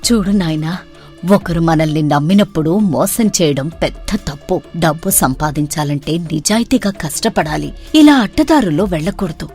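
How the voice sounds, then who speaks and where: A woman's voice: low-pitched, animated, moderate pace, a little mellow, faint music behind. A woman voicing an honest, principled mother who admonishes her son firmly in a moral story. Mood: admonishing. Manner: moralizing, firm.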